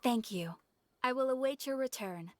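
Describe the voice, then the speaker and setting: An actress playing a dutiful, courteous official in a video game, speaking formally and precisely. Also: female voice, high-pitched, animated, moderate pace, no echo. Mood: composed, formal.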